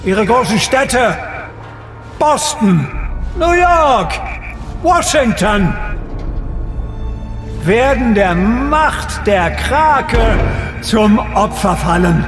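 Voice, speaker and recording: Fanatical Nazi commander. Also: male voice, high-pitched, sing-song, extremely slow, long pauses, music in the background, heavily acted. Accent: German. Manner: threatening, grand declamatory speeches.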